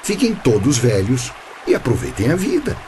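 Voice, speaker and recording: A man's voice: medium pitch, wildly swooping pitch, normal speed, dark tone, a little hiss or hum. A man with a Brazilian accent playing a mysterious, wry, impatient angel guide in a radio play, with theatrical formal speech. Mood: exasperated.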